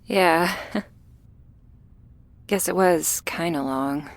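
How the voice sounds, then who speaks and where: A woman playing a shy, anxious, apologetic young vampire in an intimate ASMR roleplay, speaking softly and haltingly. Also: fairly low pitch, no room sound. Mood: sheepish. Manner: hesitant, halting, soft-spoken with whispery moments and nervous little laughs.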